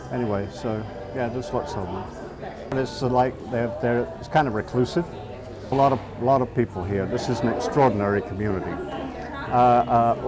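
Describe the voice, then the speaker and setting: A middle-aged man from England with a southeast English accent, an artist speaking casually in an interview, hesitant with many fillers and restarts. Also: medium pitch. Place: outdoors in a town.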